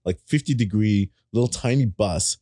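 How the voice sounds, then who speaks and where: A man in his thirties, a native German speaker, telling wild travel stories as a podcast guest with lots of laughter and cursing, in a small studio on a boom mic. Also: moderate pace, dry recording. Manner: animated, laughing, swearing freely. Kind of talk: casual storytelling in a podcast. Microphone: boom mic on a stand.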